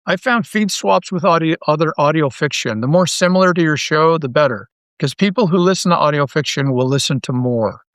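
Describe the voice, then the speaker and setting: A man's voice: fairly high pitch, brisk, hardly any pauses, a bit bright, no room sound. A man speaking casually as a podcast guest and creator, self-deprecating and often repeating his words. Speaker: interview guest, audio drama creator.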